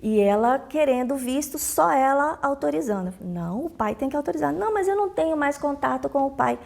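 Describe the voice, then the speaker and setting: A woman's voice: sits high, lively intonation, fairly quick, vocal fry, darkish tone. A woman with a Brazilian Portuguese accent explaining rules emphatically as a consular official, in informal interview answers with acted-out anecdotes. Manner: emphatic, repeats key points, acts out dialogues.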